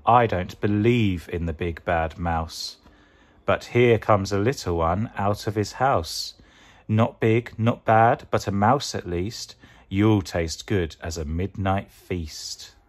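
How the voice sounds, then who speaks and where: A man's voice: fairly low pitch, takes it slowly. A man voicing a brave, curious young female monster child in a storybook, exclaiming and asking eagerly. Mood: defiant. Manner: exclamatory, questioning.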